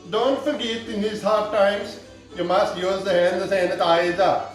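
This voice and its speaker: A boastful Irish home cook, as a man puts him on, rambles through a recipe in a thick put-on Irish accent, dropping deadpan absurd asides. His voice sits high for a man and lands somewhat distant from the mic. The room is echoey, with faint music underneath. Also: measured pace. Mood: jokey. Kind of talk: comic cooking demonstration.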